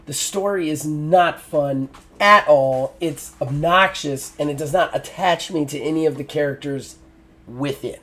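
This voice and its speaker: A man's voice: on the high side, measured pace, bright, forceful tone. A middle-aged man giving a frank spoken game review, often exasperated, with lots of fillers and restarts.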